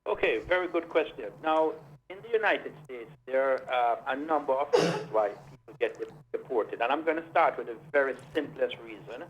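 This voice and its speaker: A man's voice: medium pitch, lively intonation, fairly quick. A man from Jamaica, a lawyer, explaining the law step by step as a guest on a radio show. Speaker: immigration and criminal defense lawyer. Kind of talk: radio interview over a phone line. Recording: dull lo-fi phone line with faint hiss.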